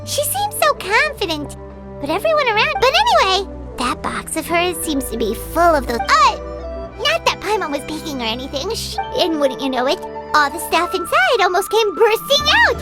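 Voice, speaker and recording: A woman voicing a small, excitable, chatty fairy companion in a video game, with childlike exclaiming delivery. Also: very high-pitched, normal speed. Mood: gossipy. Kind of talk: video game character dialogue. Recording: loud jingly music behind.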